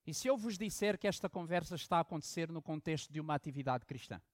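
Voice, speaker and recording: A pastor from Angola preaches from a story, booming on key words and then dropping to hushed asides. The sound is close and dry, with no room around him. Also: male voice, lively intonation, quick pace, no echo. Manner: storytelling preacher with dramatic swings from loud emphasis to hushed asides. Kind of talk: sermon.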